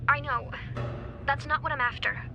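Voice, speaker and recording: An actress playing an earnest, persistent defense lawyer in a crime thriller game. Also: female voice, high-pitched, steady tone, moderate pace, gravelly. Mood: earnest, pleading. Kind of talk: naturalistic dramatic game acting. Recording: background music under the voice.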